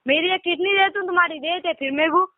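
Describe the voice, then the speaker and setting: Teenage boy, high voice, Hyderabadi accent, pleading caller, casual speech, tinny phone-line sound. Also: fast talker, dry recording. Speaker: caller asking for money. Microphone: telephone. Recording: tinny narrowband phone call recording.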